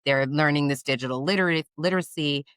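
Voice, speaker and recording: A woman's voice: low-pitched, fast, fairly bright tone, smooth, no room sound, studio quality. A woman hosting an interview podcast, affirming and curious as she asks her guest questions. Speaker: podcast host. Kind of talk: interview host with a read ad.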